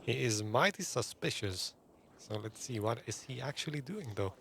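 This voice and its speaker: A man gives offhand gameplay commentary in a casual way. His mid-pitched voice is noticeably creaky. Faint ambient noise sits behind it. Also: expressive, normal speed, a bit bright.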